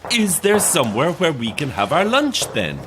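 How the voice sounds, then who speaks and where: Audio drama: eager, naive fellow actor, hugely animated intonation, guileless; slight noise behind. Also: male voice, a little high, normal speed. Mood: playful, role-playing. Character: naive, eager, amiable male amateur actor. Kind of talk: audio horror-comedy drama acting.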